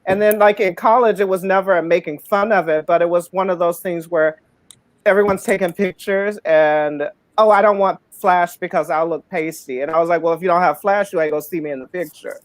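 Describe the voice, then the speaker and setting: A man's voice: sits high, steady tone, brisk, no room sound. Panelist: man, very feminine voice, candid storyteller, dry close sound. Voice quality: super feminine voice. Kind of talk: casual group chat. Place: home with a ring light.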